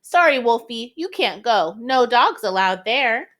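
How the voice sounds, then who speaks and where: A high-pitched, very animated lady from a children's storybook, acted out by a woman reading aloud. She is cheerful and polite, speaking in exclamations with a sing-song lilt. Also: measured pace. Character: Miss W, cheerful polite lady letter, weather forecaster.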